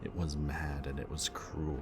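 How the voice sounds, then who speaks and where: A man performs a brooding villain in an audio roleplay with an extremely deep voice. He speaks slowly and deliberately, with mocking politeness over a weary, haunted core. Clearly audible ambient noise sits behind him. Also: completely flat delivery. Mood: grim, haunted. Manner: theatrically polite, slow and deliberate, sighing. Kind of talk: ASMR-style audio roleplay acting.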